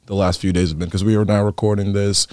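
A man's voice: fairly low pitch, little pitch movement, fast talker, darkish tone, smooth, dry recording. A young man hosting a student podcast, asking his guest easygoing, chatty questions. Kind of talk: casual interview hosting.

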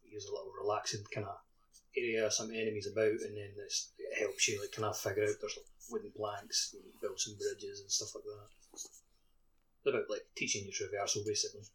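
A man with a slightly low voice chats as a podcast co-host. His delivery is informal and rambling, looping back over his phrases as he explains. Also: completely flat delivery, brisk, a bit bright, low-quality recording. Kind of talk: casual chat, informal anecdotes and explanations.

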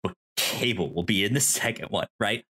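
Halting man chatting casually about films. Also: fairly low pitch, pushed and bright, husky. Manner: halting, repeats words and trails into tangents. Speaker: podcast co-host. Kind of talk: casual film discussion.

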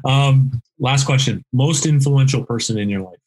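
A man hosting a podcast, relaxed and jokey as he asks quick questions, speaking on a headset mic. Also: medium pitch, slight background noise, low-quality recording. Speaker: podcast host. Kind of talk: casual interview chat. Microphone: headset with boom mic.

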